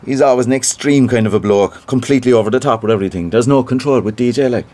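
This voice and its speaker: A small-time Irish theatre man , acted by a man in a naturalistic audio drama. He has a medium-pitched voice and a Cork accent. His talk is colloquial and gossipy, full of self-importance and a chancer's boasting. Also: fast, dark tone, close to an everyday voice. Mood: gossipy, boastful.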